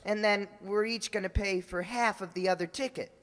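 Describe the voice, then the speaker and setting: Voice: medium pitch, fairly even intonation, normal speed, fairly bright tone, long reverb tail, lightly acted. A performer playing an eager, slangy schoolboy nephew in old-time radio comedy style, boyish and casual. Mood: sheepish, eager.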